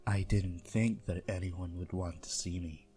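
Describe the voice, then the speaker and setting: A man voicing a courteous, lonely vampire in an ASMR roleplay, speaking softly, formally and hesitantly in a breathy voice. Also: deep voice, fairly even intonation, a little slow, faint music behind.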